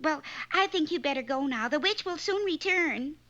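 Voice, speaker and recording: Very high-pitched wry cartoon maiden. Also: female voice, lively intonation, moderate pace, fairly bright tone. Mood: practical. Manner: matter-of-fact, wryly complaining. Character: sweet but wry young fairy-tale maiden. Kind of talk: comic cartoon fairy-tale acting. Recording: muffled, lo-fi cartoon soundtrack.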